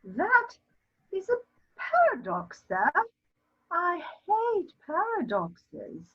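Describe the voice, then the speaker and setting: A woman's voice: very high-pitched, wildly swooping pitch, very slow, exaggerated voice. A woman playing an imperious, scolding elderly aristocratic mother in a theatrical period comedy reading, emphatic and haughty. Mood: offended. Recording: dull lo-fi sound.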